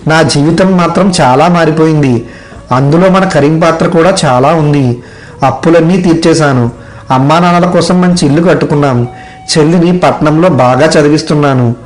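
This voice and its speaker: A man's voice: on the high side, normal speed, lightly acted. A man playing a contented, kindly young village hotel owner talking as a friend in a story dialogue. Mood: reflective, consoling. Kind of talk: animated moral story dialogue.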